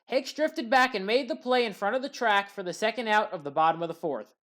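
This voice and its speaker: A woman giving a scripted play-by-play recap of baseball highlights in a measured, factual way. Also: a bit bright. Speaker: highlights commentator.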